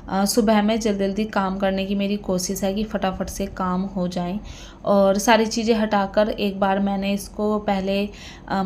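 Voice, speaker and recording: Thirties woman doing a chatty home vlog voiceover, pretty flat tone, lots of fillers, faint hiss behind. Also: medium pitch. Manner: chatty, unhurried, many fillers and tag questions. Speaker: vlogger. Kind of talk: voice-over narration of a home vlog.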